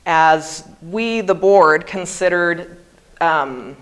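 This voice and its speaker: A woman's voice: fairly low pitch, very slow, a bit bright. A woman in her early forties from Hawaii, giving a prepared seminar talk and pronouncing each word with care. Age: 40s. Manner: careful, over-articulated pronunciation. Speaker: guest speaker.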